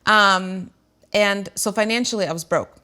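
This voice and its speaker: A middle-aged woman talks candidly to her viewers as a show host. Her voice has an ordinary, mid-height pitch. She sounds earnest and emotional, with plenty of fillers and restarts. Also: a little slow, bright, forceful tone. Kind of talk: candid personal talk to viewers.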